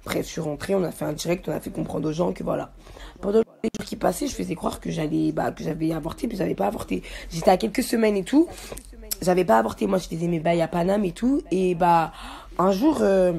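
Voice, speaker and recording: Defiant young woman, creaky voice. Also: fairly low pitch, mellow. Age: young adult. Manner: defiant, emphatic, repeats herself for emphasis. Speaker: social media personality telling her side of a story. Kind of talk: casual, slangy personal rant to followers.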